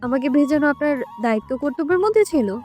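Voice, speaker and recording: High-pitched, pouty young wife voiced by a woman. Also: animated, fast talker, a little mellow, faint music behind. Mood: indignant. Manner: pouty, protesting retorts. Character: indignant, shy young wife in a love story.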